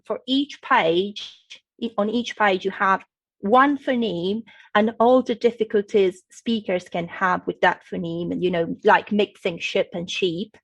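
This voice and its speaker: An Italian woman with an Italian accent speaks in a mid-pitched voice with a gravelly edge. She explains patiently like a teacher, often checking in with her listener. The sound is dry and close. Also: no room sound. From Italy. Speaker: guest, English linguistics professor. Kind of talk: explanatory interview talk.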